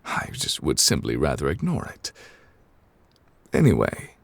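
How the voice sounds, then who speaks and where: A man playing an ancient, courteous, absent-minded god in an ASMR roleplay, speaking formally in a low, gravelly voice with hesitant pauses. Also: huge pitch swings, no echo, exaggerated voice. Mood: polite, puzzled. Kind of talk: ASMR audio roleplay, improvised in-character monologue. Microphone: close, dry microphone.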